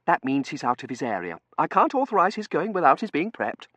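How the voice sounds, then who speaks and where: A man's voice: fairly high pitch, very animated, fairly quick, fairly bright tone, no room sound, pitched above the performer's own voice. A man voicing an officious, harried female official in an audiobook, curt and indignant.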